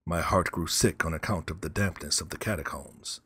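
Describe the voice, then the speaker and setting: Man reading as a deep, husky, vengeful murderer. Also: fairly bright tone, full character voice. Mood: grim. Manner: measured, grave, menacing. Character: cold, vengeful male murderer narrating his crime. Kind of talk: dramatic gothic first-person narration.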